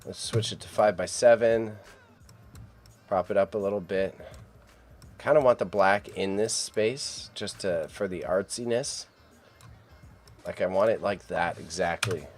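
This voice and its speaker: Low-voiced Canadian man streaming from home. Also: unhurried, pauses often. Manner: muttering to himself while editing, then chatty with viewers. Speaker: livestreamer editing photos. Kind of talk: casual live-stream chat.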